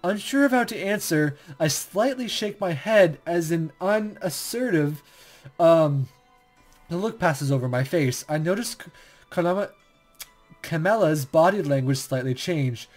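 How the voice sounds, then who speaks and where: A man's voice: fairly high pitch, lively intonation, unhurried, darkish tone, soft background music. A young man hosting a gaming channel, casual and enthusiastic, commenting and narrating from a visual novel with some stumbles. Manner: informal, enthusiastic, stumbles over reading.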